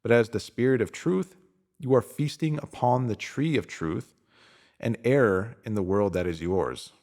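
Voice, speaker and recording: A man reading a scripted motivational spiritual piece in a deliberate, emphatic manner, pausing to give phrases weight. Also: on the low side, lively intonation, a little mellow. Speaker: narrator reading a spiritual self-help post.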